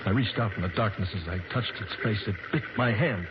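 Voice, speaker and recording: A man's voice: on the low side, brisk, background noise, lightly acted. An actor playing a shaken, wounded man who narrates his ordeal in urgent, dramatic 1940s radio style. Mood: tense. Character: shaken, wounded grown man, intense storyteller. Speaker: first-person narrator. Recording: dull old radio recording with loud music.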